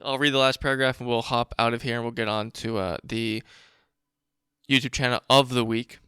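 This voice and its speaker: Crisp, bright-voiced man talking bluntly. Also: medium pitch, fairly even intonation, brisk, no echo, clean studio sound. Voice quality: raspy. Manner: blunt, profane, informal. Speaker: show host. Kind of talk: casual commentary, reading documents aloud.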